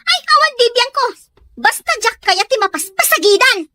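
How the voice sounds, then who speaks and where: A woman's voice: extremely high pitch, very animated, normal speed, bright, forceful tone, heavily acted. A woman playing a spoiled, quarrelsome young schoolgirl in an Ilocano audio drama, snappy and rude. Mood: confrontational. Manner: snappy, confrontational.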